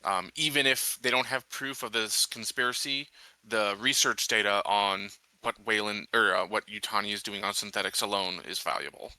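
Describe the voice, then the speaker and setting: A man playing a tabletop game, casually asking questions in a halting way. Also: on the low side, steady tone, sharp tone, no echo, rough recording. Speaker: tabletop roleplaying player.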